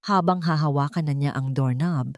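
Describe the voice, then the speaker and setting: A woman's voice: low voice, normal speed, dry recording, clean studio sound. A woman narrating a scripted romantic drama in a dramatic storytelling style.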